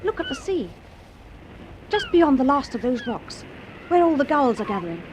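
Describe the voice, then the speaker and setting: An actress playing a curious, imaginative young student in a radio drama, well-spoken and expressive. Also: female voice, high-pitched, moderate pace, a little hiss or hum, poor audio. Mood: curious, alert.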